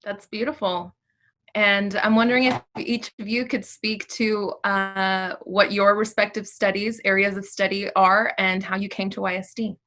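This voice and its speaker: Woman, interviewer, bright tone, flat intonation, measured questions, mid pitch.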